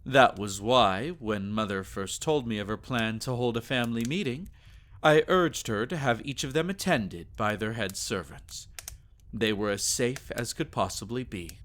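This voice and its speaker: A man playing a devoted, protective vampire nobleman in a scripted audio drama, speaking formally and gravely. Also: medium pitch, fairly bright tone, close to an everyday voice. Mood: grave, worried. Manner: solemn, formal, deliberate.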